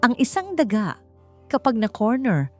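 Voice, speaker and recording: A woman's voice: on the high side, faint music behind, pitched above the performer's own voice. Cold, calculating female general voiced by a woman. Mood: quietly menacing. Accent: Filipino. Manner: calm, deliberate.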